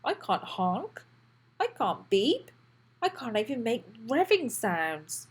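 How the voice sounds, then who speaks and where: A woman voicing an excitable, anxious and boastful toy dinosaur in a children's storybook, with a childlike, dramatic delivery. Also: on the high side, takes it slowly, slightly rough, heavily acted. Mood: panicked. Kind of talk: children's storybook read-aloud.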